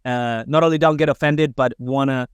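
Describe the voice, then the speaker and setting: A man's voice: mid-range voice, fairly even intonation, moderate pace, clean tone, no room sound, highs cut off. A man from Spain hosting a podcast in English, rambling with many fillers and hesitations. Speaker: podcast host. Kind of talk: casual interview.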